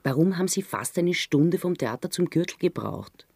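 An actor playing a hard, impatient homicide detective in a radio drama, curt and gruff as he presses his questions. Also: on the high side, expressive, normal speed, a little mellow, no echo, clean studio sound, heavily acted. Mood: pressing.

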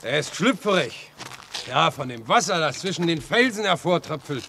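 An actor in a German radio drama playing a folksy, helpful railway line inspector who likes to chat and caution. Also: male voice, fairly high pitch, lively intonation, normal speed, gravelly. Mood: matter-of-fact, cautioning. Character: chatty, obliging railway line inspector guiding a stranger. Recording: noisy old radio play recording.

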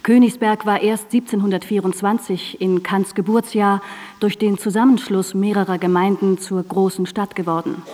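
A woman's voice: mid-range voice, mellow, long reverb tail. A woman reads scripted narration for a radio drama in a composed, formal and precise manner.

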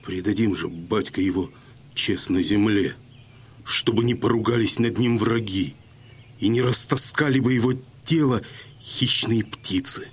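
An actor playing a brave, earnest young Cossack son speaking to his father in an audio drama, with a husky voice. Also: on the low side, measured pace. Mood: shocked, grieving.

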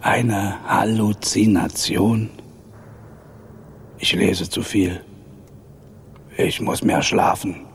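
An actor playing a huge, drowsy, grumpy old owl professor with a creaky, rasping, breathy voice in a children's radio play. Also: male voice, lowish voice, steady tone, slight background noise, exaggerated voice. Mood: sleepy muttering. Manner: slow, drawn-out, muttering, hooting interjections.

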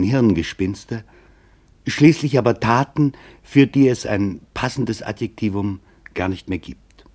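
A man with a fairly low voice narrates a documentary radio play. He reads from a script gravely and deliberately, setting phrases apart with weighted pauses. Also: darkish tone.

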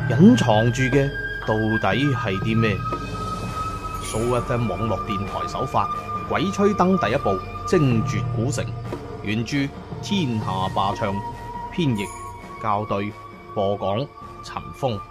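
A man with a Cantonese accent narrating an audiobook as a dramatic storyteller, with colloquial asides. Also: lowish voice.

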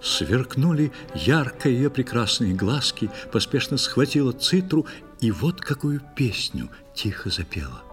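A man narrating a children's audio play, telling a verse tale in a measured, declamatory and expressive manner. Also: medium pitch, over music.

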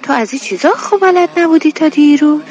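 A high-pitched Iranian homemaker in a radio play, exasperated yet affectionate. She chides in homely colloquial speech, with music playing softly behind her. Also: animated, lo-fi sound. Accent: Iranian Persian. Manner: colloquial, scolding yet fond. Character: exasperated, affectionate homemaker woman talking to children. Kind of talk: Persian radio drama acting.

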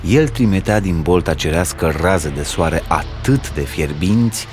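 A man reading a folk tale aloud as a storyteller, in an expressive, dramatic storybook style. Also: low voice.

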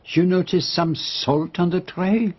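An actor playing a courteous, shrewd detective with a French accent in a radio drama, formal and measured as he probes and gently teases. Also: high-pitched, slightly rough, heavily acted. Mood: probing. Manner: formal, polite, measured, probing. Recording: dull, lo-fi radio drama recording.

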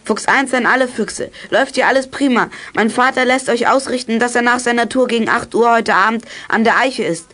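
A woman's voice: a little high, a little fast, hardly any pauses, a bit bright. A woman playing an eager child detective who leads a club in a youth radio play. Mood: brisk, businesslike.

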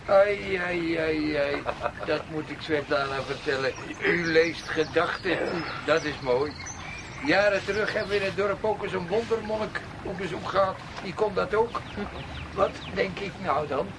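An actor playing a humble, garrulous, middle-aged peasant woodcutter in a radio drama, plaintive and easily amazed. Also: male voice, high-pitched, unhurried. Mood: amazed delight. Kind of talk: Dutch radio drama acting. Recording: noisy radio play with outdoor ambience.

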